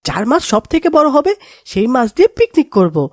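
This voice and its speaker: A woman playing an excitable, naive young boy in a cartoon. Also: high-pitched, moderate pace, no room sound, clean studio sound. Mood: curious, excited. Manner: animated, naive. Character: excitable, timid young boy, treasure-dreaming friend. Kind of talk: cartoon voice acting.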